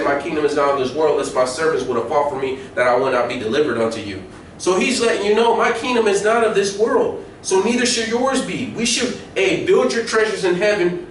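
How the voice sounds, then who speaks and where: An American man preaching an informal sermon, reading scripture and commenting on it in an emphatic, colloquial way with repeated phrases. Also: on the high side. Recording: very echoey room, distant mic, faint noise.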